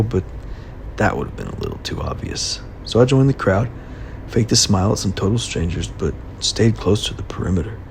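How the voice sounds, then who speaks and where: A man playing an anxious young college graduate on the run in a first-person audio drama, confiding his story in a halting, uneasy way. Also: lightly acted. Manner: confiding, halting, uneasy, breaking into hushed asides. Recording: noisy, steady vehicle rumble behind the voice.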